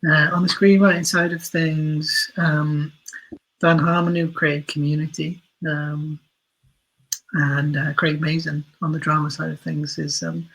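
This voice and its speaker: A man gives casual, thoughtful interview answers, often circling back on his words. His voice is a little high and husky, with a bright edge and very even intonation. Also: measured pace, low-quality recording. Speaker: podcast guest, audio drama writer.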